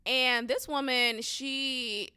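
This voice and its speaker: A young woman podcast host telling a story in a casual, emphatic and animated way, often swearing. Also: sits high, extremely slow, clean tone, dry recording, clean studio sound. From United States.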